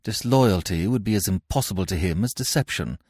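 A man's voice: deep voice, expressive, fairly quick, darkish tone, slightly rough, dry recording, studio quality, pitched above the performer's own voice. A man voicing Gwendolen, a haughty young society woman who talks in a stiff, formal, condescending way. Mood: coolly cutting. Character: haughty young society lady, polite but cutting.

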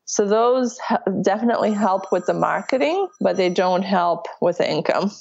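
A woman's voice: medium pitch, animated, normal speed, creaky, darkish tone, slightly rough. Italian-born woman with lo-fi sound. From Italy. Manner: candid, talks with many fillers and restarts. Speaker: coaching client, small business owner. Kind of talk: casual conversational interview. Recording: dull lo-fi audio.

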